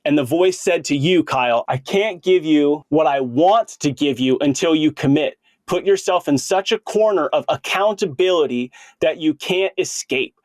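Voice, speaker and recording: A man's voice: a little high, normal speed, hardly any pauses, no room sound. A man in his late thirties hosting a podcast, talking earnestly and informally with plenty of bro slang. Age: late 30s. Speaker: podcast host. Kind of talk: casual conversational podcast interview.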